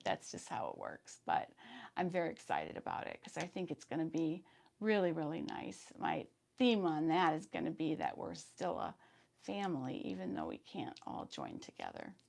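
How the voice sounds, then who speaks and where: A woman in her fifties hosting a sewing live stream, chatting casually and reading viewer comments, her voice hoarse today. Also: on the low side, darkish tone. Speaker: sewing channel host.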